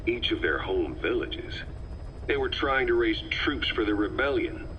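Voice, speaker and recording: A man's voice: mid-range voice, lively intonation, a little slow, bright, forceful tone, highs cut off. A man playing a cold, insistent military interrogator in a video game, speaking in a blunt, accusatory way. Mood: stern pressure. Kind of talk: scripted video game dialogue over radio. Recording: band-limited radio tape, clear background noise.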